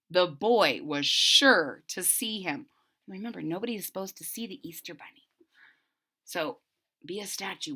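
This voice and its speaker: A woman reading a picture book aloud to children in a dramatic, animated way, stopping to explain things. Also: fairly low pitch. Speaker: children's story reader. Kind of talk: expressive read-aloud with explanatory asides to children.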